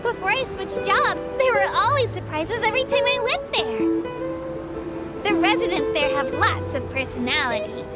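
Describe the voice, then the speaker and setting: Very high, childlike creature tour guide. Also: female voice, lively intonation, moderate pace, loud music behind the voice. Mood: friendly, earnest. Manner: cute, polite, earnest, childlike enthusiasm. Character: small, cheerful, earnest childlike female Melusine tour guide creature. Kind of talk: scripted video game character dialogue, guided tour narration. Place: studio voice recording with music behind.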